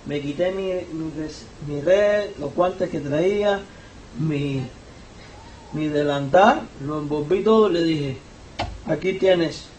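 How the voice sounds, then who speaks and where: A pastor with a Cuban accent preaches in a high, emphatic voice, pushing up in pitch and volume whenever he stresses a point. He sits back from the microphone, so his words arrive from a distance. The church hall around him rings with echo. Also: slow, darkish tone, a little hiss or hum. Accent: Cuban Spanish. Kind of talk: sermon. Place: church sanctuary. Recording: echoey church hall, voice from far off.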